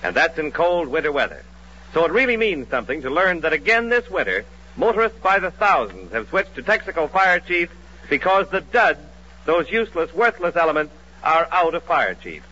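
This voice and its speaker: A man's voice: on the high side, very animated, moderate pace, pushed and bright, husky. An American man reading a commercial as an emphatic, salesmanlike 1940s radio announcer. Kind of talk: 1940s radio advertising read. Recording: dull old radio broadcast.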